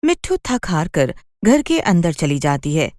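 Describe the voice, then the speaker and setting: A woman narrator tells a scripted children's story in a fairly low voice. Her delivery moves widely in pitch, and the sound is dry and close. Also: very animated, a little slow, darkish tone, no room sound.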